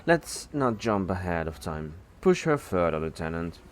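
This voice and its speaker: A man playing a commanding female starship captain in an audio drama, with measured, courteous authority. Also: low voice, sing-song, slight background noise. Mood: composed, courteous.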